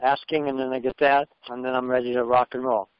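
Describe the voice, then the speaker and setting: A man's voice: mid-range voice, little pitch movement, fast talker, smooth, no echo. A man taking part in a group phone call, talking casually and conversationally. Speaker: participant on a conference call. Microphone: phone conference line. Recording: dull, lo-fi conference call audio.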